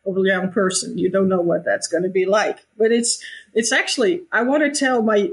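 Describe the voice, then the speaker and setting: A woman's voice: mid-range voice, steady tone, normal speed, rough recording. A woman in her fifties, a guest in an interview, speaking reflectively with many restarts and easy laughter. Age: 50s. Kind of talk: conversational interview.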